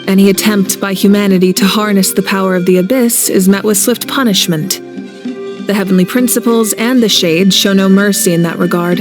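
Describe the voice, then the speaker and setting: A woman's voice: medium pitch, steady tone, fairly quick, music in the background, natural voice. A woman playing a grave, composed and knowledgeable leader in a video game. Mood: grave explanation.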